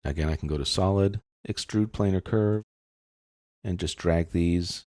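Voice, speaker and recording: A man narrating a software tutorial step by step, explaining in a casual, informal manner. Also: deep voice, unhurried, no echo, studio quality.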